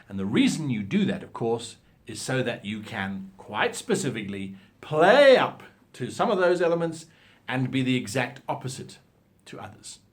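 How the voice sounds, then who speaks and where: A man with a very animated, swooping delivery and a medium-pitched voice. He talks like a host teaching a hobby, laying out examples with deliberate emphasis and a theatrical turn of phrase. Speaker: host of a role-playing advice show. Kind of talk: instructional talk with examples.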